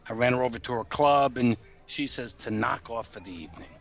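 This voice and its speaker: An actor playing an uneasy working-class chauffeur in a noir radio play, confiding in colloquial, hesitant speech. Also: male voice, mid-range voice, brisk, gravelly, a bit bright, faint music behind. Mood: uneasy, recounting.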